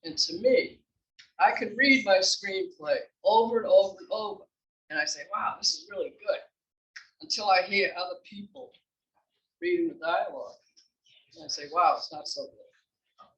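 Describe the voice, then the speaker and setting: A woman's voice: mid-range voice, normal speed, pauses often, fairly bright tone, lo-fi sound. A woman playwright giving an informal introductory speech about her work. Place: library room before an audience. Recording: echoey room, distant mic.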